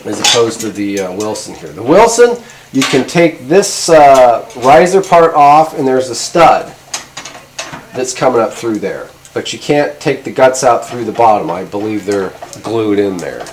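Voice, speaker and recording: A man's voice: medium pitch, very animated, a little slow, slight background noise. A middle-aged man casually demonstrating gear as a video host, talking in a rambling, hesitant, informal way. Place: indoor garage workshop at a workbench.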